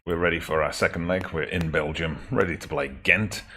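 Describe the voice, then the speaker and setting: A man casually commentating on his own football management game, chatty and wry, his throat still a little raspy after the flu. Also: deep voice, steady tone, fairly quick, sharp tone. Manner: chatty, self-correcting, wry asides. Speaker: gaming video host.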